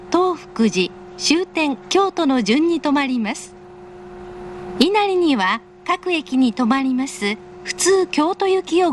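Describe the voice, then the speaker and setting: High-pitched woman making formal train announcements. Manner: polite, formal, carefully enunciated. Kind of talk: recorded in-car station announcement. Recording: faint train noise. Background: train car ambience.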